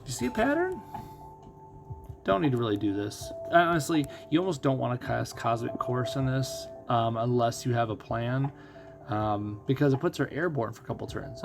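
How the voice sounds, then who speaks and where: A man's voice: mid-range voice, creaky. A man doing casual live commentary as a game streamer, explaining things conversationally and thinking aloud.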